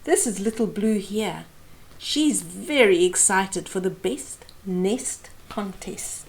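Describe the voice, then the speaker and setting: Slow, animated woman reading to children. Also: mid-range voice, low-quality recording. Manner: deliberate, explaining pictures to young listeners. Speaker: children's storytime host. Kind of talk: expressive picture-book read-aloud for children. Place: small indoor room.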